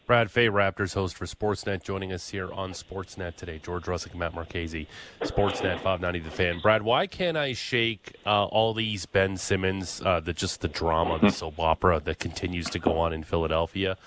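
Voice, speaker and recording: Brief: low-pitched male radio host, brisk delivery, faint hiss. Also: steady tone. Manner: brisk broadcast station identification and questions. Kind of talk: sports radio interview.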